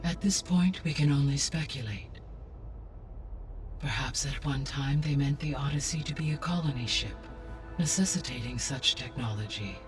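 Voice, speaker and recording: A woman playing a serene, learned artificial intelligence in a video game, measured and formal. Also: very deep voice. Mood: calm, measured. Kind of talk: acted game dialogue. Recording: game music behind.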